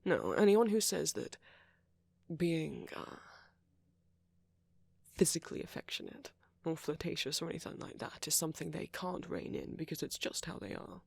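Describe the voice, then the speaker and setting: A man playing a friendly, flirty vampire in an intimate ASMR roleplay, softly spoken, polite and apologetic as he rambles. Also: on the high side, expressive, normal speed, vocal fry, husky, no echo, full character voice. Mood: indignant, earnest. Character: friendly, flirty, gentlemanly male vampire, chatty and considerate.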